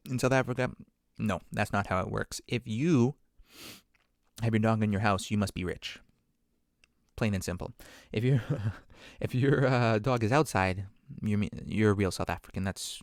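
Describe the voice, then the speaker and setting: Low, gravelly-voiced man rambling casually. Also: dry recording, studio quality. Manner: rambling, self-deprecating; conversational, many fillers and asides. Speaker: podcast speaker. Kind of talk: casual monologue.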